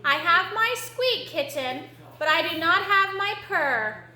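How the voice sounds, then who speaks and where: A woman voicing a tiny, nervous storybook mouse with a squeaky voice. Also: animated, takes it slowly, sharp tone, exaggerated voice. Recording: roomy echo.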